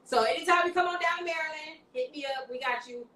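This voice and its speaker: Tipsy podcast host woman, bright voice. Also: high voice, fast talker, reverberant, recorded from a distance, a little hiss or hum. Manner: playful, drinking wine, slightly slurring. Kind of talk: casual tipsy chat.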